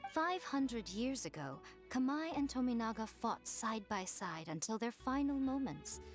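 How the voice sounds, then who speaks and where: A woman's voice: on the high side, very animated, a little slow, soft background music. A woman voicing a sly, elegant fox spirit in a video game, wise, poised and teasing. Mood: knowing, measured.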